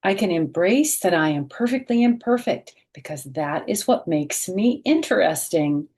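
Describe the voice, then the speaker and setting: A middle-aged woman reading a picture book aloud to children, gently and expressively, pausing to explain things directly to her young listeners. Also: fairly low pitch, lively intonation, slightly rough.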